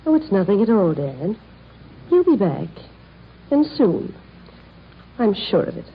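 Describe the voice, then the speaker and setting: An actress playing a poised, possessive society fiancée in an old radio drama, polished and sweetly condescending. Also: female voice, medium pitch, sing-song, measured pace, lo-fi sound, slight background noise. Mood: smug. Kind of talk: 1930s-40s radio acting.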